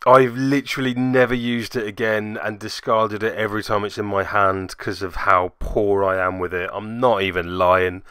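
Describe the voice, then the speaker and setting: Male game narrator, wry and self-mocking, clear forceful delivery, dry close-miked sound. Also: fairly low pitch, little pitch movement, moderate pace, few pauses, no echo. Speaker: host narrating a tabletop game battle report. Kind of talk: casual recorded commentary.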